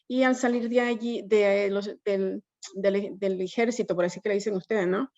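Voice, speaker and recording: Guatemalan woman in her fifties, loan officer guest on a webinar call; gravelly, muffled line. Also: mid-range voice, steady tone, normal speed, darkish tone. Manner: conversational, mixes English fillers into Spanish. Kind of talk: webinar self-introduction. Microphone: video call. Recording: dull lo-fi video call audio.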